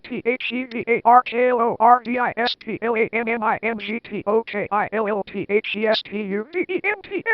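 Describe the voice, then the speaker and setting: A man voicing a blustering male official who shouts in fury, spelling every word out letter by letter. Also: sits high, no echo, lo-fi sound.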